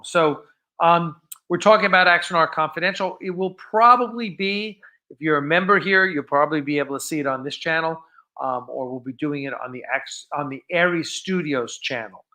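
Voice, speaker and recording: Man, livestream host, higher-pitched bright voice, casual chat, enthusiastic, rambling delivery. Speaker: live stream host. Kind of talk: casual unscripted live stream chat.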